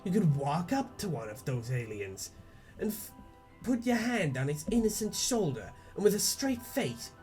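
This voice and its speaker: A man's voice: high-pitched, a little mellow, voice pushed up, low-quality recording. A man playing a defiant young woman in a theatrical, earnest, foul-mouthed monologue. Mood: sardonic, intense. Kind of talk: dramatic reading of a webcomic script.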